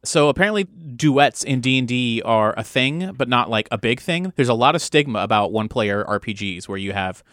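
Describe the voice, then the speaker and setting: A man's voice: mid-range voice, expressive, normal speed, few pauses, a bit bright, no room sound. Rambling man in a dry recording. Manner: rambling, discursive, breaks off and rephrases thoughts. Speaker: game master. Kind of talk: casual chat.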